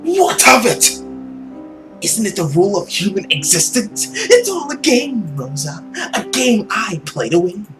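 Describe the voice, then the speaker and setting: Here is a gloating, centuries-old villain from a visual novel, acted out by a man. The voice swings widely and sits a little high, savoring words with arrogant, theatrical mischief. Music plays clearly behind it. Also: big pitch swings. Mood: gloating, defiant. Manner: theatrical, arrogant, drawn-out delivery with sudden emphasis.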